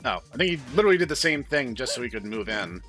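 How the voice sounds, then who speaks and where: A man chats casually through his gameplay in a bright voice. He is wry and pokes fun at himself. Music plays behind him. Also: mid-range voice, sing-song, rapid-fire. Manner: wry, self-deprecating. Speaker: gaming video host reading a visual novel aloud. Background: game music.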